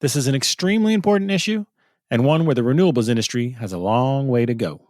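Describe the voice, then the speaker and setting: A man's voice: mid-range voice, lively intonation, fast, a little mellow, no echo, studio quality. A man hosting a podcast interview, reading a scripted intro and then asking questions conversationally. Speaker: podcast host.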